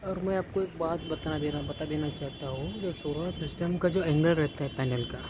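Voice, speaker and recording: A man talks through an installation as he walks around, pausing, restarting and repeating himself. It is recorded on a handheld phone on a rooftop and indoors, with clear traffic noise behind him. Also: a little high. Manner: halting, repeats words and restarts while pointing things out. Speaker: electrician showing an installation. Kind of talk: casual walkthrough explanation. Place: rooftop outdoors, then indoor stairwell and wall. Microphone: handheld phone camera, filming himself off-frame.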